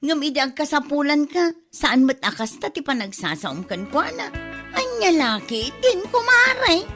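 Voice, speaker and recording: A woman's voice: sits high, very animated, a little slow, over music. A woman playing a gossipy neighbour with a Filipino accent, emphatically disapproving in a comedy drama. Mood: disapproving gossip. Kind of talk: comedy radio-drama acting.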